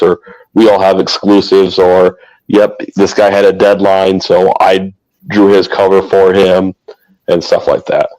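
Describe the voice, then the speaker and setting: A man's voice: low-pitched, rough recording. A man chatting casually on a podcast, rambling and correcting himself as he goes. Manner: rambling, self-correcting, flat delivery. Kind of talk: casual chat.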